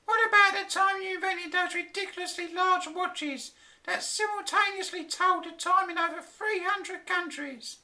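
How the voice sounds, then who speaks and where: Shrill scornful wife, sky-high voice, bright and sharp. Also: female voice, normal speed, exaggerated voice. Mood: scolding. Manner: mocking, scornful. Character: shrill scolding wife, mocking and exasperated.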